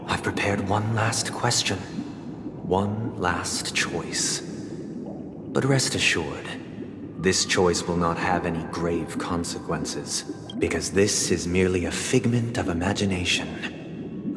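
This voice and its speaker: Deep, solemn, eloquent idealist. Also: male voice. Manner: measured, formal, grandiloquent. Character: solemn, eloquent, haunted idealist man with authoritarian convictions. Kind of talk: scripted dramatic video game dialogue. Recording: long hall reverb, game music behind.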